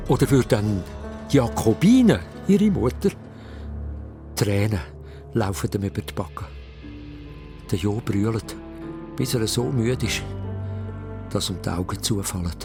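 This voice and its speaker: A man's voice: medium pitch, a little mellow. A man with a Swiss German accent narrating a children's radio play in a dramatic storytelling style.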